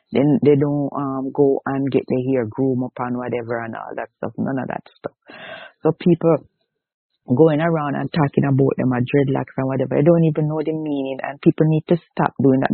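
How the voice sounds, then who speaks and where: A man's voice: mid-range voice, vocal fry, slightly rough, dry recording. A Jamaican-accented man from Jamaica, talking as an interview guest in a plain, earnest, repetitive way. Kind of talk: casual interview talk. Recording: tinny dry phone line.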